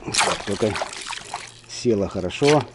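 A middle-aged man calmly commenting to himself while fishing from a small inflatable boat, picked up by an action camera outdoors. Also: on the low side. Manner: calm, unhurried, talking to himself between casts. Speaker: fishing vlogger. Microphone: action camera on the boat and chest. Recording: outdoor recording with wind and water noise.

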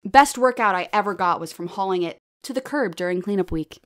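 A woman acting as a self-deprecating female stand-up comic in an audio drama. Her voice sits at a middling pitch, and she delivers her lines wryly, shaped toward the punchline. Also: lively intonation, a little fast, a bit bright, lightly acted. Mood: wry, joking. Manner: wry, punchline-driven delivery. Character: self-deprecating plus-size female stand-up comedienne named Eleanor.

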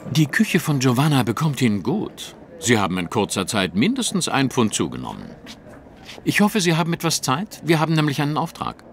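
An actor playing a self-assured gentleman detective in an audio drama, speaking briskly, precisely and with wry formality. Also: mid-range voice, huge pitch swings, slight background noise. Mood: wry, teasing.

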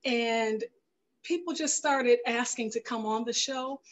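A middle-aged woman with a fairly high voice speaks as an interview guest. She tells her own story in a conversational way, indoors on a video call with a headset. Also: a little slow. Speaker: filmmaker and radio show host being interviewed.

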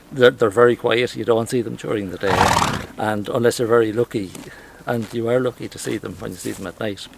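A middle-aged Irish farmer with a Midlands accent and a gravelly, rough voice. He talks haltingly, stumbling through restarts and fillers, with faint background noise. He is recorded on a lapel mic, outdoors and in a farm shed. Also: mid-range voice. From Ireland. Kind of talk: casual interview. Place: outdoors in a field, then inside a farm shed.

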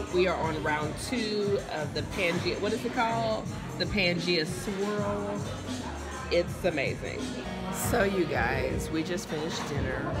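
A woman in her thirties, a food vlogger chatting casually and enthusiastically into a phone at arm's length in a restaurant. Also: deep voice. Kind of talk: casual vlog narration. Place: restaurant dining room. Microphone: phone camera at arm's length. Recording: loud music behind. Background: loud music.

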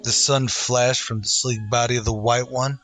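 Male narrator, dramatic old-time radio western style, bright and forceful, mid pitch, faint music. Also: fairly even intonation, normal speed, no echo.

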